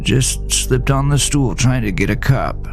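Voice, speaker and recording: A man voicing a frail, meek and gently confused elderly father in an audio drama. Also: medium pitch, expressive, a little slow, creaky, over music, pitched above the performer's own voice. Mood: reassuring, dazed. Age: older. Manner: meek, vague, dismissive of concern. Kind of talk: audio drama character dialogue.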